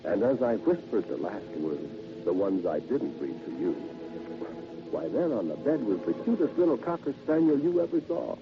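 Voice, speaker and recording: A man's voice: fairly low pitch, big pitch swings, over music. Swooping, sinister genteel salesman, old radio. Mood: sinister, amused. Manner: polite, halting, insinuating, theatrical. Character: genteel, chatty, quietly sinister henpecked encyclopedia salesman. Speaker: radio drama actor. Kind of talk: vintage radio horror monologue, one-sided conversation. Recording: noisy, dull vintage radio recording.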